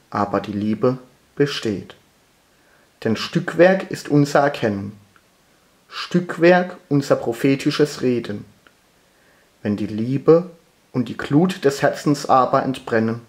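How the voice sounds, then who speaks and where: Slow, solemn man reciting poetry. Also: medium pitch. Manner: measured, deliberate phrasing with pauses.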